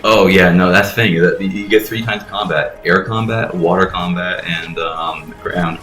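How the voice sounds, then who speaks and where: A man's voice: low voice, moderate pace, bright, forceful tone, echoey room, soft background music. A young man giving casual, irreverent game commentary, explaining things as he goes. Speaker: gameplay commentator.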